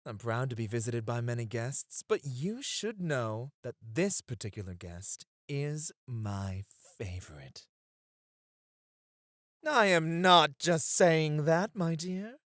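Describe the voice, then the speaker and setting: A man's voice: medium pitch, animated, takes it slowly, fairly bright tone, dry recording, studio quality. A man playing a teasing, dramatic, flirty club host in an ASMR roleplay, with a coy, effusive manner. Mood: delighted, gushing.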